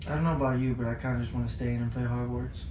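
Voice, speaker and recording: A man's voice: medium pitch, steady tone, very fast, lightly acted. A man playing an offhand young homebody boyfriend in an amateur comic skit, speaking casually. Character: homebody young boyfriend who just wants video games. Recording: distant, echoey, very noisy room.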